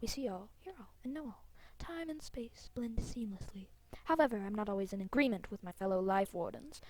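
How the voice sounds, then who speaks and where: A woman's voice: medium pitch, animated, brisk, a little mellow, close to an everyday voice. A woman voicing a proud, impatient ancient female tree spirit in a game, speaking in a grand, formal manner. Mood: solemn, imperious.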